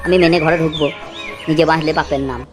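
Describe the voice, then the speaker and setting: A woman's voice: low voice, steady tone, quick pace, over music, close to an everyday voice. A woman playing a henpecked, secretly scheming village husband in an amateur comedy cartoon, wheedling, pleading and muttering to himself.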